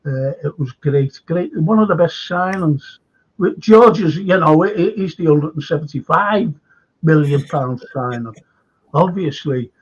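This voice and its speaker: A man's voice: a little high, lively intonation, measured pace, slightly rough, highs cut off. An older man hosting a casual fan chat show, rambling and chatty with lots of "you know". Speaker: host.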